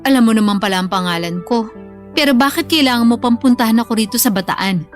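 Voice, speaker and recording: Actress as cold bossy mom, blunt n dismissive, slightly high voice, radio drama w faint music. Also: female voice, fast talker, close to an everyday voice. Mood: irritated. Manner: curt, dismissive. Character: cold, blunt, demanding estranged mother, middle-aged.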